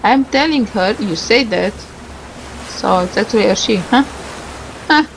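A woman's voice: mid-range voice, animated, a little slow, creaky, slight background noise, low-quality recording. A woman doing casual game commentary, reading a visual novel's dialogue aloud and stumbling over some words with self-corrections and asides. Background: music.

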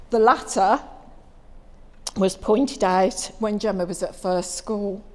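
A woman's voice: a little high, warm tone. A middle-aged English woman, mother of the bride, reading a wedding speech from notes into a handheld mic in a careful, heartfelt way. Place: glass-walled wedding reception room. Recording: hall reverb with music under it.

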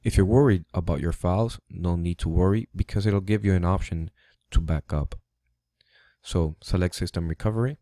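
A man narrates a computer how-to in a plain, instructive way, pausing now and then with an um. His voice sits very low and sounds dark and relaxed. The recording is dry, close on a desk microphone. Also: no echo. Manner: plain, instructive, slightly halting. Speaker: tutorial host. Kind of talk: step-by-step tech tutorial voice-over. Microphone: USB studio microphone.

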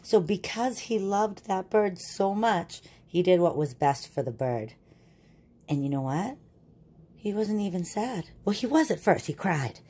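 A woman reading a children's picture book aloud and explaining it in a deliberate, teacherly way. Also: mid-range voice, animated, dark tone, breathy edge.

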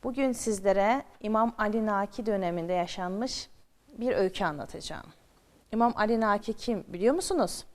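A woman with a medium-pitched voice tells stories to children. She is expressive and draws young listeners in with questions. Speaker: children's storyteller. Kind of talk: storytelling addressed to children.

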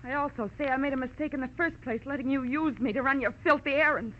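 An actress playing an earnest, emotional young American wife with a defiant streak in an old-time radio drama. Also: female voice, high voice, fast talker, natural voice. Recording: muffled, noisy old-time radio recording.

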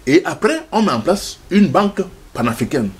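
A man's voice: a little high, sing-song, moderate pace, a little hiss or hum. An older man speaking as a studio guest in a passionate debate, emphatic and stammering on repeated words.

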